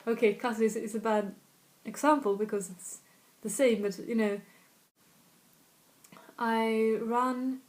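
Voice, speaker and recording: A woman's voice: on the high side, fairly even intonation, slow pace, pauses often. A woman from north of Stockholm with a Swedish accent, chatting casually as a language tutor and repeating example phrases. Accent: Swedish, slightly northern. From Sweden, north of Stockholm. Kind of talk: casual video lesson.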